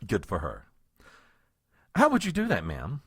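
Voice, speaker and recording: An actor playing a nervous, exasperated political aide who speaks with hesitant, strained politeness. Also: male voice, fairly low pitch, huge pitch swings, a little slow, fairly bright tone, slightly rough, dry recording, studio quality, heavily acted. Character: deferential, exasperated, nervous male presidential aide. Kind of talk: comic audio drama acting.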